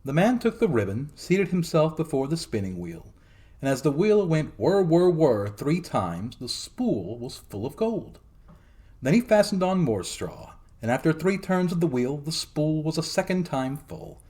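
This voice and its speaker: A man narrating a fairy tale as a storytelling host, in a theatrical, expressive dramatic reading. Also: fairly high pitch, a little slow.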